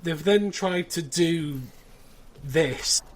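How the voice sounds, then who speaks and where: A man's voice: fairly high pitch, drawn-out words, slight background noise. A man hosting a podcast in casual, conversational discussion, reviewing as he goes. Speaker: podcast host.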